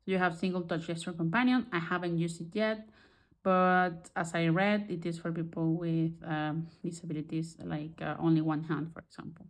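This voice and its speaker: A woman who is not a native English speaker, chatting casually through a digital art software tutorial and often restarting as she searches for words. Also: fairly low pitch, fairly even intonation, normal speed. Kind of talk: casual screen-recorded tutorial.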